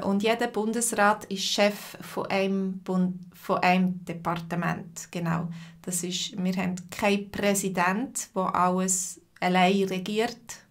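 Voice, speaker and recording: Slow, clear Swiss German teacher, a woman. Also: medium pitch, fairly even intonation. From Switzerland. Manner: slow, clear speech with many fillers, greeting viewers by name. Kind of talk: live stream lesson, chatting with viewers.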